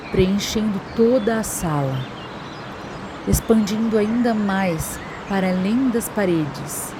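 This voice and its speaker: A Brazilian woman narrating a scripted guided meditation in a soothing, measured, instructional manner. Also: medium pitch. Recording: constant waterfall noise behind the voice. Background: waterfall and nature sounds.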